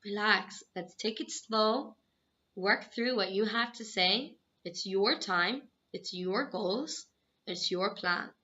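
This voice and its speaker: Bright-voiced Irish woman teacher. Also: medium pitch. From Ireland. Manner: friendly, encouraging, clear and measured. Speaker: English teacher. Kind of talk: self-introduction video to students. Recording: dull, slightly lo-fi sound.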